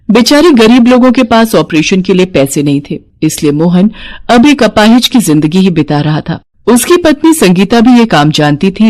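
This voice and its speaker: A woman's voice: medium pitch, darkish tone. Woman narrating a children's story.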